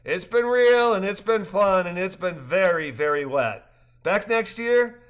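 A man's voice: high-pitched, expressive, measured pace, clear, resonant tone, pitched above the performer's own voice. A man doing a barking, jovial older man. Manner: barking, boisterous.

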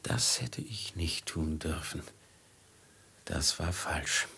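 Brooding merchant in an old radio play, really low n gravelly, slow and heavy. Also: male voice, pushed and bright, slight background noise, full character voice. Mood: regretful, musing. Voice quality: husky, gravelly. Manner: slow, halting, weighty delivery. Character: brooding male merchant from Damascus, dazed and fatalistic. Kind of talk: 1950s German radio play acting.

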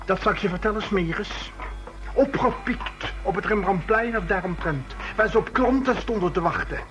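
An actress playing a brusque, defiant boutique boss in a radio play, curt and scornful. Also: female voice, medium pitch, lively intonation, normal speed, natural voice. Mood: indignant. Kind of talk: radio drama acting. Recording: muffled old radio recording with music behind.

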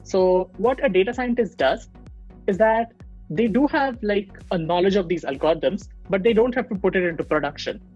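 Young guy from india explaining stuff on a video call, bit high voice, lots of likes and ums. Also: lively intonation, normal speed, a little mellow, husky, highs cut off, soft background music. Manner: explanatory, many fillers and restarts. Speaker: machine learning engineer guest. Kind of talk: casual interview on a video call. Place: small room at home. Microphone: earbuds on a video call.

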